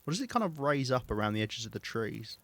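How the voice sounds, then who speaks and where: A man with a southern English accent, chatting casually and self-mockingly while streaming a game, with a calm, soothing voice. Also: medium pitch, quick pace. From southeast England. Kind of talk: casual gameplay chat.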